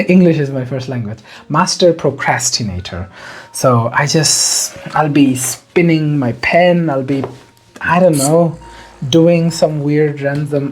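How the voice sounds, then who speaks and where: A man in his twenties from Bangladesh, talking casually and rambling about writing, with self-deprecating asides. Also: medium pitch. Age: 20s. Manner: rambling, self-deprecating, chatty with small laughs. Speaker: novelist vlogger. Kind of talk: casual talk to camera.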